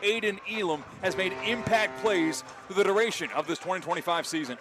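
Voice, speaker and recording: A man's voice: sits high, brisk, over music. An American man doing live radio play-by-play of a football game, with emphatic, dramatic calls. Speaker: play-by-play announcer. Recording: clear outdoor stadium noise behind. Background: outdoor stadium ambience.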